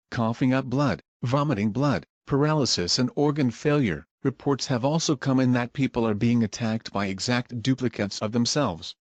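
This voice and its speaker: A man voicing a grave, impersonal emergency alert announcer, reading a scripted warning in a formal, measured way. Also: mid-range voice, no room sound, close to an everyday voice.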